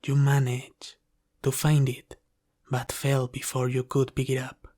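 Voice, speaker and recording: A devoted half-dragon butler, played by a man for an ASMR roleplay, speaks at a slow, careful pace. His mid-pitched voice stays level and restrained. He is formal, deferential and caring, with an apologetic humility toward the one he serves. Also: completely flat delivery, mellow, close to an everyday voice. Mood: dutiful.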